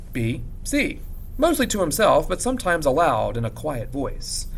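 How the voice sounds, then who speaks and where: A man reads a story aloud like a teacher, in expressive narration with measured, dramatic pauses and emphasis. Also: on the high side, slight background noise. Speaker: teacher reading a novel aloud. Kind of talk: expressive audiobook-style narration.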